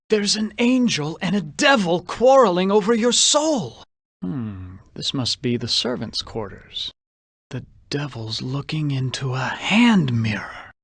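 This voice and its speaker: A man's voice: medium pitch, fairly bright tone, dry recording, studio quality. A man playing a suave, vain, anguished adventurer in a gothic horror video game, speaking in a refined, theatrical way. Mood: urgent, questioning. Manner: theatrical, refined, slightly haughty.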